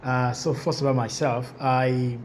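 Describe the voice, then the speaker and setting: Nigerian-accented male panelist on a video call, mid-pitched voice with audible background noise. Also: little pitch movement, measured pace. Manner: hesitant, restarts often. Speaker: venture capital panelist. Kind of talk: panel discussion.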